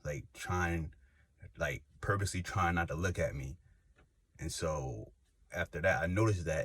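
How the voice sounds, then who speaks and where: A man's voice: rumbling low, fairly bright tone, husky. A young man, telling a rambling personal story to camera with many fillers and restarts. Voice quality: slight lisp. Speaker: vlogger. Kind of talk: casual personal testimony.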